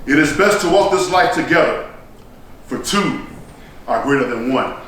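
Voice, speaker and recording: A man performing a theatrical monologue like a rousing speech, solemn and emphatic, with deliberate dramatic pauses. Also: fairly high pitch, lively intonation, a bit bright. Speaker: stage performer delivering a monologue. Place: echoey performance space, recorded from a distance. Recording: roomy echoing hall, distant mic, faint noise.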